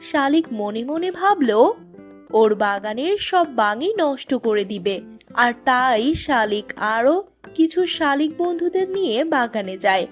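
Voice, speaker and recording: A woman's voice: sits high, expressive, takes it slowly, soft background music. A woman narrating a scripted children's story as its storyteller.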